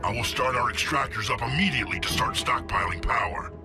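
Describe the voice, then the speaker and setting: An actor playing a wary alien warrior, a second-in-command giving formal, grave military reports in a video game. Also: male voice, mid-range voice, animated, brisk, natural voice. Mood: businesslike. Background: loud music and battle sound.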